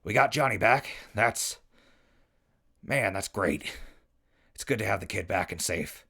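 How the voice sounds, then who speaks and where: An actor playing an easygoing, folksy wasteland radio reporter in an audio drama, informal and wry. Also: male voice, lowish voice, lively intonation, unhurried, vocal fry, pushed and bright, heavily acted. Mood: wry, companionable. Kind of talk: post-apocalyptic audio drama.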